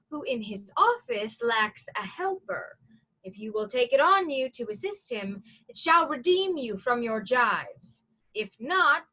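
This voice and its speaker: Through slightly muffled lo-fi audio comes a brisk, commanding prison provost of the Shakespearean stage acted by a woman. The voice is high and unhurried, declaiming each order with stern weight and some pity. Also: fairly bright tone, exaggerated voice. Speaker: actor in a staged reading.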